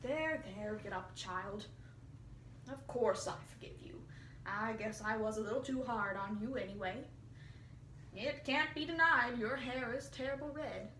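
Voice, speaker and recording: A woman's voice: on the high side, unhurried, bright, forceful tone. Storybook country elder female reader: homely, plain-spoken, chiding yet kindly; roomy recording with faint noise. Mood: relenting, kindly. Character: plain-spoken older country folk, gruffly kind and folksy. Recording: roomy, distant mic, faint hiss.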